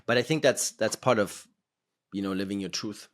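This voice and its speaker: A man's voice: on the low side, normal speed. A man hosting a podcast, rambling in casual conversation with many fillers. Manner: rambling, filler-heavy, laughs between points.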